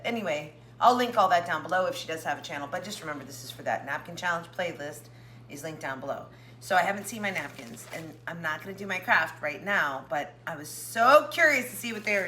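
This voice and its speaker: Chatty lady vlogger, bit hoarse, gets all excited over craft stuff, little hiss behind her. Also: medium pitch. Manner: chatty, excitable, jokes with a pet. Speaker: craft channel host. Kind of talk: casual craft vlog chat.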